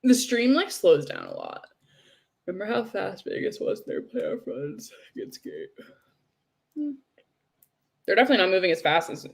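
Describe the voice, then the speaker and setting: A woman's voice: mid-range voice, gravelly. A woman from New Jersey co-hosting a live hockey stream, talking casually with lots of fillers. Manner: casual, filler-heavy, laughing. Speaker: co-host.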